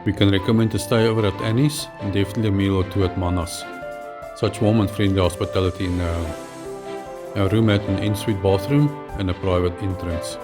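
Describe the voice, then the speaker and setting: A man reading a measured, factual travel voice-over as a pilot narrator. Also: deep voice, fairly even intonation. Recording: voice-over with music behind.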